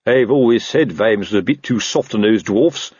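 A man's voice: fairly low pitch, normal speed, darkish tone, slightly rough, no echo, dull audio. A man voicing a fat, old, pompous police captain who snaps officiously and suspects everyone, in a fantasy audiobook. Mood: indignant. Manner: snapping, blustering, officious.

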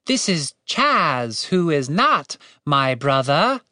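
A woman's voice: deep voice, very animated, normal speed, dry recording, clean studio sound, voice pushed up. A woman voicing a brash, foul-mouthed young elf woman in an audiobook, blunt, sarcastic and demanding. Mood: firm, impatient.

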